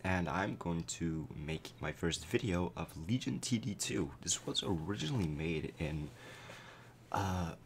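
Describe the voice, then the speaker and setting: Young man with a very deep, cracking voice. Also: measured pace, vocal fry, slight background noise. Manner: relaxed, explanatory, many fillers. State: voice cracking after a concert. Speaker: gameplay commentator. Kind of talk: casual gameplay commentary.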